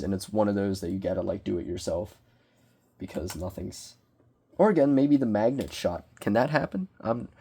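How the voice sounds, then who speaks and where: Young guy with a cold, kinda low voice, rambly and excitable reviewing a toy. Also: husky. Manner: rambling, self-deprecating, excitable. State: sniffly from a cold. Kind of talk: casual unboxing review. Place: small bedroom.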